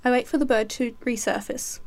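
Teenage female student writer, higher-pitched, dramatic first-person narrative reading. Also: fairly even intonation, a little fast.

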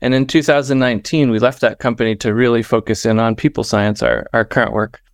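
A middle-aged man, a researcher and company co-founder, telling his story in an easy, brisk, conversational way. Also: mid-range voice, steady tone, no echo, studio quality. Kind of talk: conversational interview answers.

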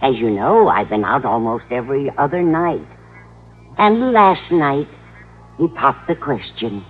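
Dotty older landlady, smitten, dramatic, very animated, old radio sitcom, muffled noisy recording. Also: extremely low pitch, unhurried, husky, exaggerated voice. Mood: proud, excited. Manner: gushing, fond, dramatic emphasis. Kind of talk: 1950s radio sitcom acting.

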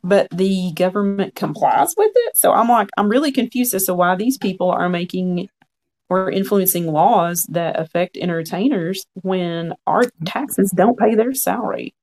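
Gravelly-voiced man in his forties. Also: high-pitched, moderate pace, darkish tone. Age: 40s. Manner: frank, earnest, quotes own past thoughts. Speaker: podcast guest. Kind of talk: conversational storytelling.

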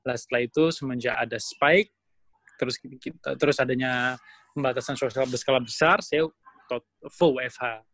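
A man's voice: mid-range voice, fairly even intonation, normal speed, a bit bright, no room sound. Young man explaining, dry close sound. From Indonesia. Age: young adult. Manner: explains at length with many fillers and restarts. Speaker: guest. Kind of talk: casual interview talk.

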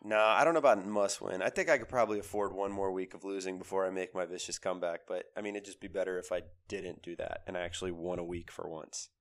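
A man in his thirties with a cold, co-hosting a casual sports podcast, talking in an animated, emphatic way. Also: deep voice, fast talker, few pauses. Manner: animated, emphatic with repeated phrases. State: has a cold, voice lower than usual. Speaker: podcast co-host.

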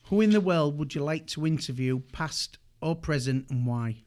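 A laid-back co-host talks in a slightly high voice. He speaks casually and colloquially, stumbling and restarting his sentences as he goes. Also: lively intonation, moderate pace, a little mellow. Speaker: podcast co-host. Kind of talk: casual chat.

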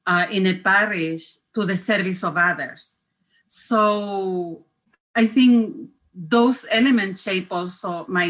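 A woman's voice: lowish voice, sharp tone, low-quality recording. An older woman from Chile with a Chilean Spanish accent, speaking English as an interview guest, earnest and searching for words. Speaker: guest, therapist.

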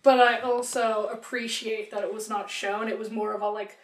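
High-voiced woman in a roomy space. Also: level tone, normal speed. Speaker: podcast co-host. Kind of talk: casual film discussion. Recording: roomy echo.

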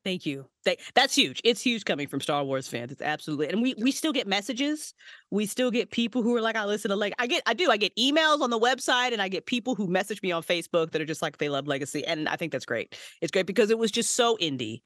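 A woman guest on a casual podcast interview, a writer and voice actress, talking in an animated way and swearing freely. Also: fast talker, dry recording, studio quality. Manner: animated, swears freely, big pitch jumps when excited.

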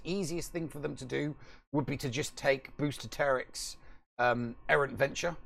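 A man's voice: a little high, gravelly, a bit bright. A man co-hosting a casual gaming podcast, talking in a rambling, emphatic way and repeating himself for stress. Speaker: podcast co-host.

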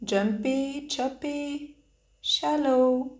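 A woman leading a guided meditation with spoken instructions in halting phrases, pausing often between them. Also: high-pitched. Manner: hesitant, halting phrases with long pauses. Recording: roomy, echoing sound.

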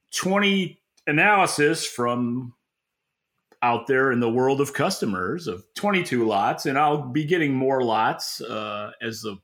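A man co-hosting a podcast, giving opinionated market commentary in an informal, halting way with repeated words. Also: medium pitch, a bit bright. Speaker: podcast co-host and industry analyst.

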